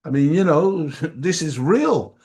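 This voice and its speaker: A man's voice: on the high side, sing-song, slow pace, darkish tone. A middle-aged man from Jamaica giving an impassioned community teaching talk, emphatic and preacher-like, repeating phrases for weight. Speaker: study group speaker.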